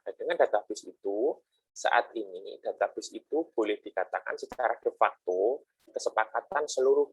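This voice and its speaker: A man's voice: mid-range voice, husky, no echo, poor audio. A middle-aged man giving a classroom lecture in a chatty, explaining way, with frequent rhetorical asides and homely analogies.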